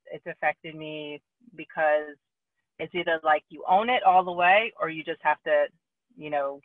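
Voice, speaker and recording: A woman's voice: low-pitched, no room sound. A woman moderating a panel discussion, candid and self-deprecating as she shares and calls on panelists. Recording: tinny phone-line audio.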